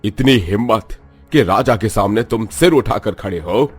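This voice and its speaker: A man's voice: fairly low pitch, expressive, measured pace, breathy edge, soft background music. A man voicing a haughty, hard-hearted king in a cartoon, speaking in an imperious, commanding manner. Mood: angry, commanding. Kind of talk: Hindi cartoon dialogue.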